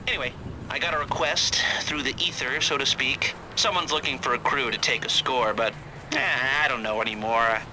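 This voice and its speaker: Actor as a hesitant criminal fixer over engine noise. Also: male voice, medium pitch, normal speed, lightly acted. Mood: wary, offhand. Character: wary male criminal fixer phoning with a job offer. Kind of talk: video game voice acting.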